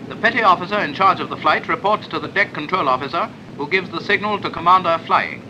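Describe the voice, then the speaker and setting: A man's voice: high voice, quick pace, pushed and bright, lo-fi sound. A British man reading a scripted 1940s instructional film narration in a clipped, explanatory manner. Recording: noisy 1940s film soundtrack.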